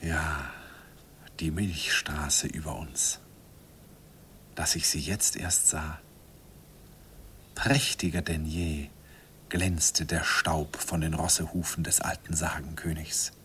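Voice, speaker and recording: A man's voice: very deep voice, slow pace, long pauses, full character voice. An actor playing a wistful man narrating his youthful romance in a radio play, lyrical in manner. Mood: hushed, rapt. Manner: lyrical, animated storytelling. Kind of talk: dramatic first-person radio play narration. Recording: slightly noisy old radio recording.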